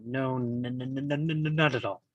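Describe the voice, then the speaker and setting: A man playing a calm, controlled young mafia boss in a script read, halting and stuttering heavily on consonants. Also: mid-range voice, dry recording, highs cut off, natural voice. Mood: reassuring. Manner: halting, deliberate. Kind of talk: live table read of a crime film script.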